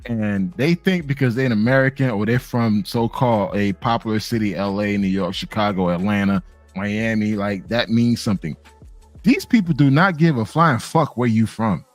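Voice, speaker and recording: A man hosting a live talk, his mid-range voice jumping up high whenever he drives a point home. The sound is dry and close, with faint music behind. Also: normal speed, dry recording. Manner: emphatic, swings up in pitch when stressing a point. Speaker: host. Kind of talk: casual live chat.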